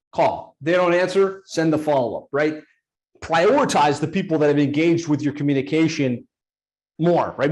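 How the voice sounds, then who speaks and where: A man hosting a live online workshop, coaching agencies on sales follow-up in a brisk, practical way over a video call. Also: fairly high pitch, darkish tone. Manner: brisk, coaching, acts out sample sales lines. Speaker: workshop host. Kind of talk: live webinar teaching.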